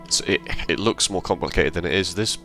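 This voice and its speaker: Very low, bright-toned male gameplay host, slightly hoarse, casual and rambling, soft music behind. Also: brisk. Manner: relaxed, rambling with self-corrections. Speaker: let's play host.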